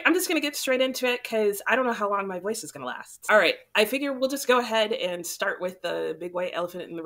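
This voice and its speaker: Chatty young woman, a little sick. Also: mid-range voice. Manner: chatty, relaxed, rambling. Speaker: book channel host. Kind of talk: casual chat to camera.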